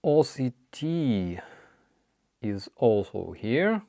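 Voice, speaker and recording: A man with a Ukrainian accent hosting a casual live chat, answering viewer questions in halting, apologetic English with many restarts. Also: medium pitch, very animated, gravelly, mellow, no room sound, clean studio sound. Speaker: livestream host.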